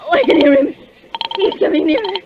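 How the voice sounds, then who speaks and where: A woman's voice: extremely high pitch, big pitch swings, brisk, background noise. A woman playing a frantic, demanding invalid wife in 1940s radio suspense style, stammering, imperious and breathlessly nervous. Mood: desperate, panicked. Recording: dull, dry vintage radio recording.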